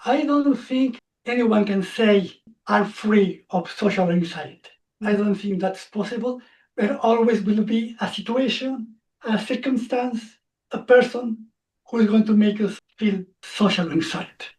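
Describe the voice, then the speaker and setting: Reflective non-native English-speaking male coach in his 40s, mellow tone, sits back from the mic. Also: high-pitched, a little slow, distant mic, low-quality recording. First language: not English. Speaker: social anxiety coach. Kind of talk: interview guest, personal story.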